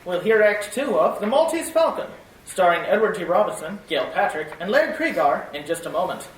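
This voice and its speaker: A woman's voice: fairly low pitch, big pitch swings, fairly quick, far from the mic, noisy background. A woman playing an upbeat 1940s radio announcer reading a soap commercial. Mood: salesy. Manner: upbeat, salesy. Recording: echoey room, voice off-mic.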